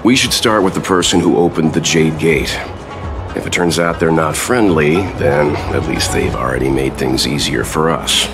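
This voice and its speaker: Deep-voiced, measured mentor. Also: male voice, over music. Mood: calm, decisive. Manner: measured, deliberate, formal. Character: calm, composed older male expedition leader, a mentor. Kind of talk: video game cutscene dialogue.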